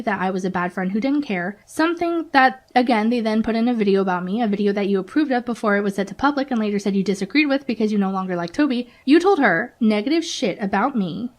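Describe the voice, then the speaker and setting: A woman giving informal video commentary, venting in a sarcastic and indignant manner. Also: medium pitch. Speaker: YouTube commentator.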